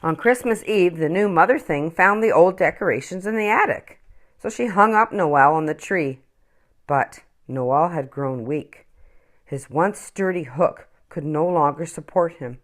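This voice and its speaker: A woman reading a children's picture book aloud as a storyteller, expressively and with dramatic pauses. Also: on the low side, animated.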